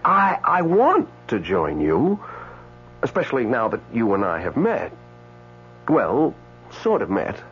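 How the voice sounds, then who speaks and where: An actor playing an indignant, newly dead man in a radio drama, speaking with hesitant stammers and dramatic pauses. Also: mid-range voice, wildly swooping pitch, fairly bright tone, exaggerated voice. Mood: pleading. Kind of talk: radio drama acting with first-person narration. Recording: dull radio broadcast, faint mains hum.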